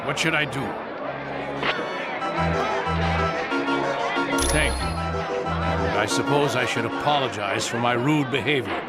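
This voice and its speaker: An actor playing a suspicious, self-important politician in a video game, with a huffy, formal, wary manner. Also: male voice, mid-range voice, moderate pace, loud music behind the voice, a loud crowd, natural voice. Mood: grudging, apologetic.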